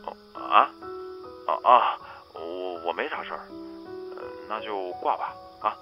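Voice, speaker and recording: A man's voice: sits low, expressive, unhurried, over music. An actor playing a brash, clingy, affectionate young male student in a Chinese audio drama, slangy and swaggering, heard through a telephone filter. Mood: flustered. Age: young adult. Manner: slangy, swaggering yet needy. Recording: dull band-limited phone-call sound.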